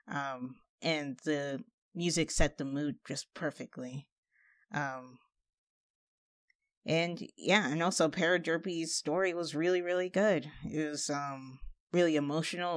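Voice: medium pitch, no echo. Casual reaction host, dry close sound. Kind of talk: casual unscripted commentary.

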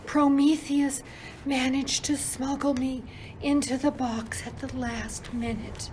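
A plaintive little spirit, acted by a woman in a radio play. The voice is high and unhurried, declaiming each phrase theatrically. There is faint noise on the recording. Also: little pitch movement. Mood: pleading, earnest. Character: Hope, plaintive little spirit freed from a box.

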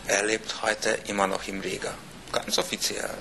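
An actor playing a grave, secretive older Russian former space official in a radio drama, halting and ominous. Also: male voice, fairly low pitch, monotone, vocal fry. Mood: grave, guarded. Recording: noisy ambience behind the voice.

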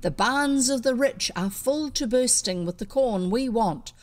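A woman's voice: fairly high pitch, animated, a little slow, darkish tone. A woman reading a storybook as a tall working man, a fiery orator rousing a crowd with emphatic declamation. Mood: fervent, urging. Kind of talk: storybook character voice.

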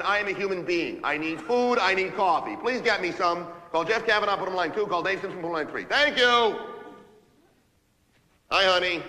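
Actor as a sharp, abrasive New businessman, echoing hall. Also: on the high side, a little hiss or hum. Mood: barking, impatient, then placating. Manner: impatient, ranting, barking orders and repeating himself. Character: abrasive, frantic New York businessman, bullying and self-important. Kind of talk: theatrical comic monologue as one-sided phone calls. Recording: reverberant hall.

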